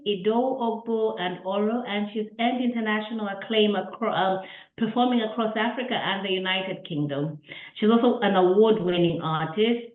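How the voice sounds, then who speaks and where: Woman interviewer on a tinny, echoey line. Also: mid-range voice. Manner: warm, chatty, with restarts and laughs. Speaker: interviewer and host. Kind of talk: interview over a call.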